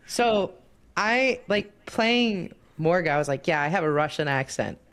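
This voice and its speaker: A woman's voice: fairly low pitch, expressive, a little slow, fairly bright tone. A woman co-hosting a casual tabletop gaming discussion, shy and self-deprecating, often breaking into giggles. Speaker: podcast co-host and player.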